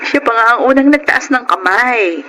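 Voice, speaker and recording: A woman's voice: fairly high pitch, lively intonation, moderate pace, slight background noise, rough recording. An actress with a Filipino accent playing a kind, reassuring woman in an emotional radio drama. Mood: friendly, polite. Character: kind, reassuring woman, schoolteacher and farmer's wife. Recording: loud dramatic music bed. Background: loud music.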